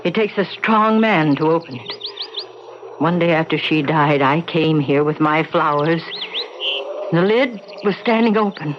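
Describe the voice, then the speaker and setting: A woman playing a grieving, haunted middle-aged housekeeper, telling her tale haltingly and solemnly in an old-time radio drama style. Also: sits low, a little hiss or hum. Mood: grim, resigned. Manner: halting, sorrowful storytelling. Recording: dull lo-fi radio recording.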